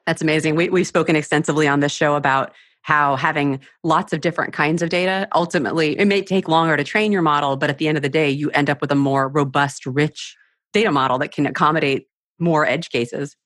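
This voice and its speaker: A woman's voice: low voice, steady tone, fast, a bit bright, no echo, studio quality. A woman hosting a show and interviewing a guest, who thinks aloud and sums up his points before each question. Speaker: podcast host.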